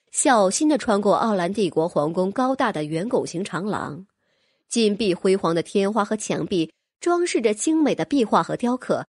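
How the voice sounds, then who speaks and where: A woman's voice: medium pitch, husky, no echo, clean studio sound. A woman reading a fantasy novel as scripted audiobook narration.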